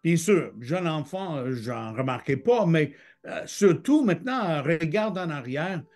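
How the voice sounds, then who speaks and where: Brief: middle-aged man from Michigan, slow and hesitant, slightly high voice, video call. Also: lively intonation, a little mellow. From Michigan, United States. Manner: hesitant, many "euh" fillers. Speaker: guest. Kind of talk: testimony interview.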